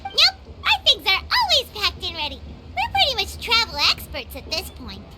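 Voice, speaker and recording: A woman voicing a tiny, perky, cheeky childlike fairy companion in a video game, with a squeaky voice. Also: huge pitch swings, normal speed, heavy background noise, full character voice. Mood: proud. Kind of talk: cartoonish video game voice acting.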